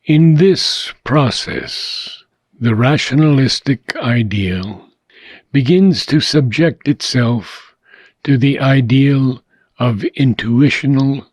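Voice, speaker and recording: A man reading philosophical prose aloud in a measured, deliberate way with frequent pauses. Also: mid-range voice, expressive, breathy edge, no echo. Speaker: reader of a philosophical book.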